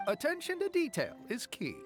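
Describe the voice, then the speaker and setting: A man's voice: very high-pitched, huge pitch swings, moderate pace, over music, heavily acted. A man voicing a boastful little paper creature in a video game, speaking in an earnest, dramatic storytelling manner. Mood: matter-of-fact.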